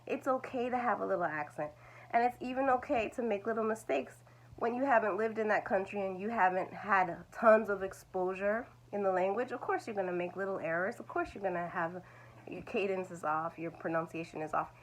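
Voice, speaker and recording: A woman's voice: mid-range voice, brisk, poor audio. A woman, teaching language learners in a reassuring, repetitive way. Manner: reassuring, repeats "it's okay". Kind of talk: talking-head video lesson.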